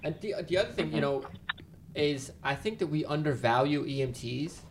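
Homem direto e bem-humorado, com ruído ao fundo. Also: male voice, on the high side, lively intonation, a little fast. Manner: blunt, streetwise, repeats "you know". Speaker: EMS worker. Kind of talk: casual podcast conversation. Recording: clear background noise.

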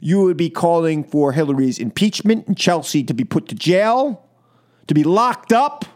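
A man's voice: a little high, sing-song, normal speed, a little mellow. An American man hosting political talk radio, speaking emphatically and with exasperation, often repeating phrases and posing rhetorical questions. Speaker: radio talk show host. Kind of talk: political opinion monologue and caller talk on radio.

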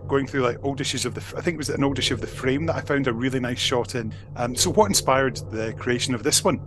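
Playful podcast host. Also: male voice, mid-range voice, fast talker, noisy background. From Scotland. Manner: playful, wordplay-loving. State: slight cold, more gravelly than normal. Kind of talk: podcast chat and interview. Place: at a table across from his co-host.

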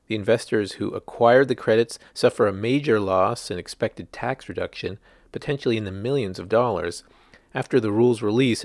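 A man's voice: fairly low pitch, gravelly, no room sound, clean studio sound. A man, a journalist, reading a written news article aloud as scripted narration.

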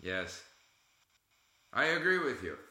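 A man's voice: low voice, sing-song, takes it slowly, bright, forceful tone. A man hosting a casual conversation about language learning, encouraging and emphatic, repeating his key phrases. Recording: echoey room.

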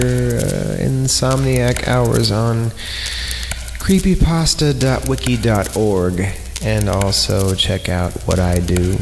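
A man hosting a horror podcast, reading creepy stories aloud in an irreverent, halting way and stumbling over the text. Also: lowish voice, creaky, background noise. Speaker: podcast host and announcer. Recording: long reverb on voice, music underneath. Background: eerie horror film score.

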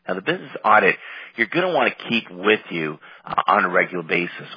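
A man with a head cold, sounding nasal and slightly raspy, coaching a group over a conference call. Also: lowish voice, dry recording. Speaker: practice coach. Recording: dull conference call line.

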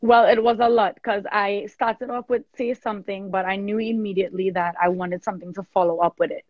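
Expressive woman singer chatting in interview, mostly w a bit of trini, dry slightly muffled audio. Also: mid-range voice, brisk, dry recording. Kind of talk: casual interview chat.

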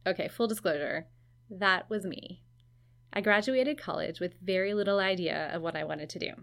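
A woman's voice: fairly low pitch, normal speed, gravelly, a bit bright. A woman hosting a podcast, narrating in a conversational, lightly self-deprecating way. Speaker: podcast host.

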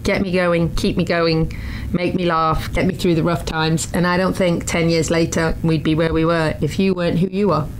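Chatty woman host, low voice. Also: level tone, normal speed, slight background noise, poor audio. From Britain. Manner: laughs often, chatty. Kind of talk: casual studio chat. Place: studio.

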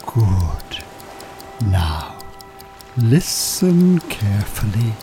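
A calculating Irish hypnotist con man speaks in a lowish voice. He is very unhurried, soothing yet commanding, as in a radio play with music underneath. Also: mellow, full character voice. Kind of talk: audio drama acting, hypnotic patter.

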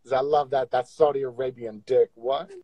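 A man's voice: medium pitch, fairly even intonation, normal speed, highs cut off, a crowd in the background. A man hosting a casual livestream, teasing and joking crudely with viewers on video calls. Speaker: livestream host.

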